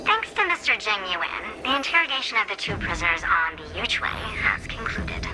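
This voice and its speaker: A woman's voice: medium pitch, lightly acted, low-quality recording. A woman voicing a playful, knowing seer strategist in a video game, with a polite, teasing and theatrical delivery.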